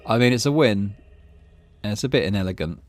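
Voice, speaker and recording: Low-voiced man, very animated intonation. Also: brisk, no echo, faint music behind. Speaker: gaming video host. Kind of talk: reading game text aloud with casual commentary.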